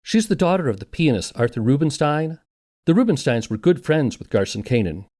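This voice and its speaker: A man's voice: on the high side, big pitch swings, a little fast, a little mellow. A man narrating a scripted documentary podcast in a conversational, wry manner.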